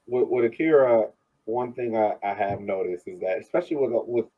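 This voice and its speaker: A man co-hosting a soccer podcast, giving casual analysis in a halting way, repeating words as he builds his point, on a dull lo-fi microphone. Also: lowish voice, slightly rough.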